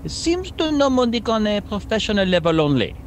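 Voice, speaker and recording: A high-voiced French sergeant acted by a man swoops through his lines with a French accent. He is an eager, halting sidekick in a comic radio mystery, with faint noise behind him. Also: very animated. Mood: hesitant, dutiful.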